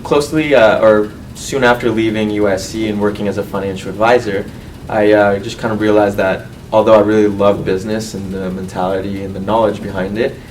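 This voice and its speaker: Male student, twenties, earnest and halting testimonial, fairly low voice, off-mic sound with faint noise. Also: darkish tone, recorded from a distance, rough recording. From Colombia, raised in California. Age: 20s. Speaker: medical student and university board representative. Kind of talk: personal testimonial to camera.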